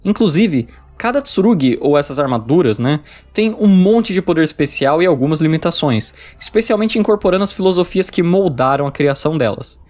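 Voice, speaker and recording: A man's voice: on the high side, expressive, fairly quick, few pauses, a little mellow. A man with a Brazilian accent giving scripted narration for a video essay.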